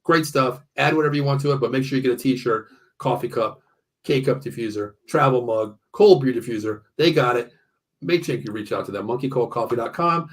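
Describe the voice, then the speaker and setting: A man's voice: medium pitch, slightly rough. An American man in his early fifties hosting a casual sports show, talking bluntly and often repeating himself. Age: early 50s. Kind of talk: casual sports banter with ad reads.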